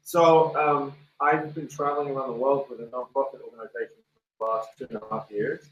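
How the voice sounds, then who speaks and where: Man guest, slow and measured; echoey, off-mic. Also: medium pitch, little pitch movement, highs cut off, a little hiss or hum. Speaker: guest, nonprofit founder. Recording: echoey room, distant from mic.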